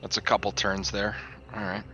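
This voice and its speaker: A man's voice: on the low side, monotone, normal speed, lo-fi sound. A man chatting casually as a player in a tabletop roleplaying game.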